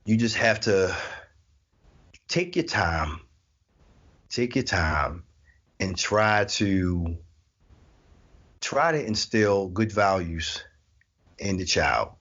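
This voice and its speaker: A man in his forties chatting as an interview guest, relaxed, laughing often and repeating his phrases. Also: low voice, extremely slow, pauses often, highs cut off. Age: 40s. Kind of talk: casual interview chat.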